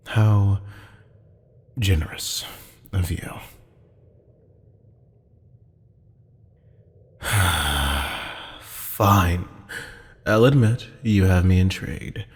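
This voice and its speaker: A haughty, sardonic demon, acted out by a man for an audio roleplay, sounding amused and teasing. The voice is very deep, dark and slow, with a husky drawl. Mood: intrigued, sardonic. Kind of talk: scripted audio roleplay acting. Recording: long hall-like reverb.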